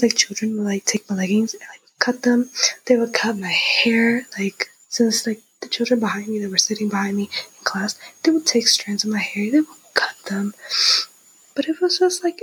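A young woman of nineteen shares a personal story in a candid, conversational way. Her voice sits fairly high and is very breathy and husky, recorded dry and close. She speaks casually and repeats phrases for emphasis. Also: no echo, low-quality recording. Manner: youthful conversational, emphatic repetition.

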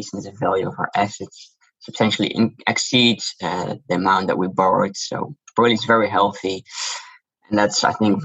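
A low-voiced man with a Dutch accent explains his business in a calm, measured interview manner. His voice is husky. The recording sounds dull and lo-fi. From Netherlands. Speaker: shoe brand founder, guest. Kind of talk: interview answers.

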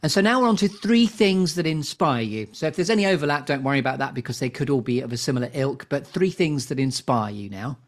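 A man's voice: medium pitch, lively intonation, quick pace, hardly any pauses. A man hosting a conversational interview, prompting his guest. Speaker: interview host.

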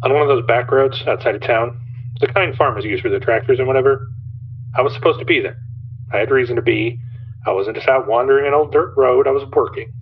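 A tinny, phone-filtered male voice of middle pitch. He plays a cagey, defensive anonymous caller to a radio show in an audio drama, hesitating and correcting himself. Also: fast talker, breathy edge. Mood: nervous, defensive. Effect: phone-line filter. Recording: thin phone-like band-limited line.

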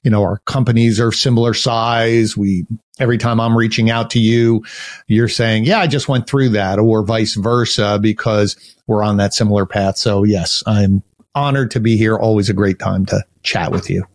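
Loud-voiced man, dry close sound. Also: lowish voice, fairly even intonation, normal speed, no room sound. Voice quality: loud, big voice. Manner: earnest, explains in long rambling sentences. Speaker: podcast guest, marketing agency CEO. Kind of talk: casual interview chat.

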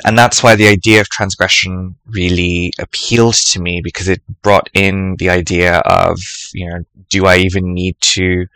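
A man presenting a reflective spoken essay for an academic symposium podcast, thoughtful and measured, often pausing to choose his words. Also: low voice, sharp tone, dry recording, low-quality recording.

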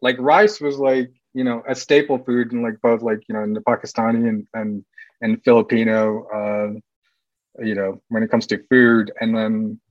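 A man in his late thirties, raised in Sweden and California, chatting as a podcast guest in a loose, rambling way full of "like" fillers and quick laughs. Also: lowish voice, flat delivery, dry recording, muffled recording. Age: late 30s. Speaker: podcast guest, cycling team runner. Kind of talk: casual interview chat.

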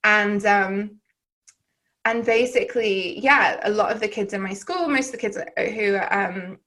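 A woman with a Southern English accent speaks at a middling pitch as a podcast guest. She tells personal stories in a rambling, reflective way, full of restarts and filler words. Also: unhurried, fairly bright tone. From Wales. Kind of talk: candid personal storytelling in conversation.

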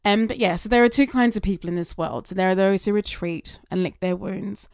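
A woman talking through her thoughts in a chatty, rambling monologue that wanders onto tangents, her voice getting slightly raspy. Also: mid-range voice, steady tone, brisk, a little mellow, dry recording, studio quality. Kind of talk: casual reflective monologue.

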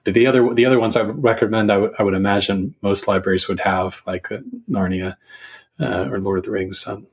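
Low-voiced man, halting and thoughtful. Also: steady tone, husky. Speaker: guest writer on a podcast. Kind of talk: casual podcast book chat.

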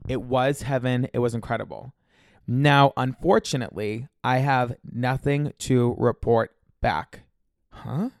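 A man's voice: mid-range voice, steady tone, measured pace, creaky, no echo. Young male podcast host, solo, campy and profane, slightly congested and scratchy, dry close sound. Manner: chatty, profane, campy and gossipy. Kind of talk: casual solo podcast monologue.

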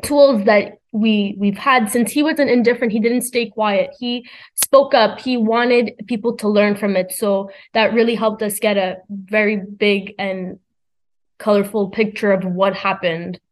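A young female high school student speaking earnestly and informally as she shares her view in a discussion. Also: fairly even intonation, unhurried, low-quality recording. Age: teens. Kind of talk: thoughtful spoken discussion.

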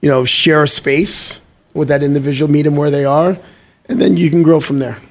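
A man's voice: on the high side, fairly even intonation, moderate pace. A man from Guyana who grew up in New York, guest in a casual talk show interview, upbeat and full of motivational sayings. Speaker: guest entrepreneur.

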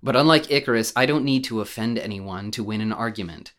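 An actor playing a self-assured conservative debater in a radio play, argumentative and instructive in manner. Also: male voice, fairly low pitch, brisk, fairly bright tone, close to an everyday voice. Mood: smug. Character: combative, self-assured male conservative debater, coolly superior.

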